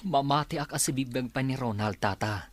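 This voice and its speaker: A young man with an Ilocano accent playing a loyal, reassuring helper in a radio drama, with a husky voice. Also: medium pitch, fairly quick, dry recording, natural voice.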